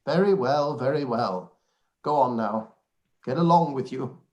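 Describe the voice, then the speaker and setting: A man's voice: mid-range voice, unhurried, darkish tone, muffled recording, a little hiss or hum, lightly acted. A man playing a dry, teasing but fond elderly father in a period radio play. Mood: fond, wry. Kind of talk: radio play live read.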